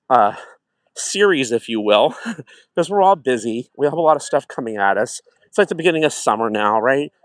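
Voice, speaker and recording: A man's voice: medium pitch, dry recording. A middle-aged man hosting a casual livestream, talking while he walks a track outdoors at night and greeting viewers. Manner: chatty, reflective, greets viewers warmly by name. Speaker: wellness livestream host.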